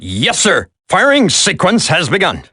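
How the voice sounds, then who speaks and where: An actor playing a dutiful military subordinate in a video game, giving clipped reports. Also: male voice, high-pitched, wildly swooping pitch, unhurried, bright, forceful tone, husky, no echo, studio quality, exaggerated voice. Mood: brisk, obedient.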